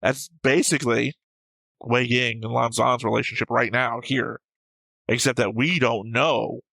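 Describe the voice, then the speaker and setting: A man's voice: medium pitch, steady tone, measured pace, vocal fry, pushed and bright, dry recording, poor audio. A man who hosts a reaction show, talking casually and discursively about a series, rambling and swearing freely.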